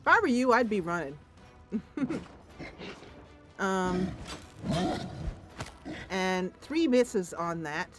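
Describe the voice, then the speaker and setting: A woman's voice: medium pitch, big pitch swings, very slow, pauses often, a bit bright, faint music behind. A woman doing casual gameplay commentary, thinking aloud playfully and talking to the game's characters. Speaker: host of a game playthrough.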